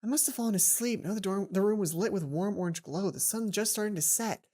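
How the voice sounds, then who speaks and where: A woman's voice: medium pitch, quick pace. A woman hosting a let's play, reading a visual novel aloud expressively and adding casual, chatty commentary. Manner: expressive, acts out lines, then chatty asides. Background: game music.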